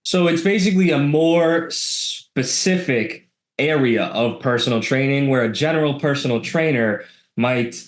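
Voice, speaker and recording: A man's voice: mid-range voice, measured pace. A man hosting a podcast, presenting and asking questions in a conversational way. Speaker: podcast host. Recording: roomy, echoing sound.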